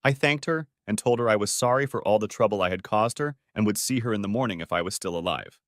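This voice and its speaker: Lively male story narrator, dry sound. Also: lowish voice, fast talker, no room sound. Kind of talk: scripted first-person story narration.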